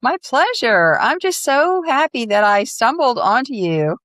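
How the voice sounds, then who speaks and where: A woman's voice: on the high side, sing-song, a little slow, a bit bright, no echo. A middle-aged woman hosting a podcast, chatting casually with her guest in an effusive, encouraging way. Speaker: podcast host. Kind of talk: casual interview chat.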